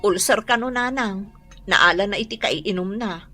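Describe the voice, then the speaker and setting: A woman's voice: medium pitch, normal speed, pushed and bright, soft background music, natural voice. A woman with an Ilocano accent playing a practical, nagging wife and mother who lectures her drinking husband in a radio comedy drama. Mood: worried.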